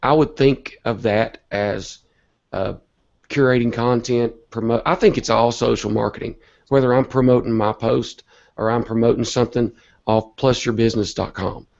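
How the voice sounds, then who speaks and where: A man with a cold and a hoarse voice, talking earnestly and a bit rambling as an interview guest. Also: mid-range voice, steady tone, normal speed, darkish tone. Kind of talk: casual online interview talk. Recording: dull lo-fi web call audio.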